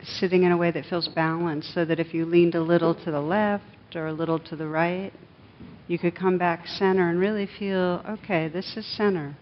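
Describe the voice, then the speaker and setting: Female meditation guide, fairly low register, calm instructive phrasing with pauses, faint rumbly noise behind a lo-fi capture. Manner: soothing, measured, with short phrases and pauses. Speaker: meditation teacher leading a group. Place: a room with a live group. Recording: dull lo-fi recording, clear background rumble noise.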